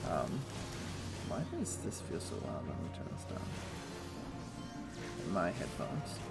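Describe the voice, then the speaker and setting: Streamer, male, low and gravelly, easygoing gamer chatter, game music underneath. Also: slow pace. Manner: relaxed, thinks aloud with many fillers. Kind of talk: casual chat while gaming.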